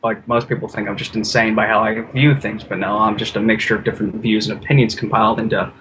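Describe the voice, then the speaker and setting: A man's voice: on the low side, little pitch movement, fast. A man in casual conversation, talking in an informal, effusive and rambling way. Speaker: podcast guest and YouTuber. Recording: noisy, ambient background noise.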